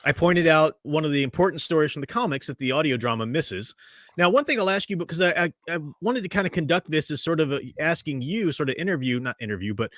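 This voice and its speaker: Guy hosting a review stream, talks fast, reads out chat, dry mic. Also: a little high, expressive, a bit bright, dry recording. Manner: quick, chatty, greets live chat viewers. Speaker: podcast host.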